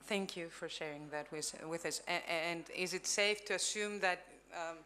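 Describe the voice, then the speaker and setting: A woman moderating a conference conversation, asking earnest, slightly halting questions. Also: lowish voice, pushed and bright, a little hiss or hum.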